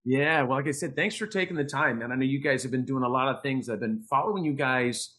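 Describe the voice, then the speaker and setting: A man's voice: medium pitch, fast, sharp tone. A man hosting a podcast, talking in an informal, effusive and wordy way. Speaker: podcast host. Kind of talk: casual interview chat.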